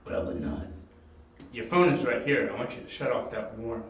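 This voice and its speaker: A man playing a gruff, dim, menacing gang boss in an amateur audio drama, giving terse orders. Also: medium pitch, normal speed, dull audio, natural voice. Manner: terse, commanding. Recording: very echoey, distant from mic.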